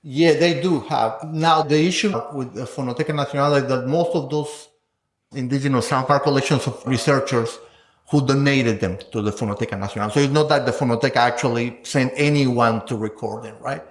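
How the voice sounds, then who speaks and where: A man's voice: mid-range voice, a little fast. A man, a guest scholar, talking through ideas in an academic interview, thinking aloud and often ending points with a questioning "no?". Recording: roomy echo.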